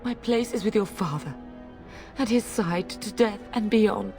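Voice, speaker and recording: A woman's voice: medium pitch, a little slow, mellow, faint music behind, natural voice. An actress playing a middle-aged noble mother in a video game, fierce, devoted and urgent, with emotional delivery. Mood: resolute, tender. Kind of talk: dramatic video game acting.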